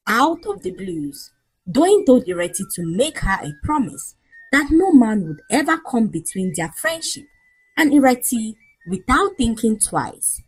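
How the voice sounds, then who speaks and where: A woman storyteller reads a scripted folktale with a very lively, swooping intonation. Her voice sits at a medium pitch and has a husky edge. The delivery is dramatic narration. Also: rough recording.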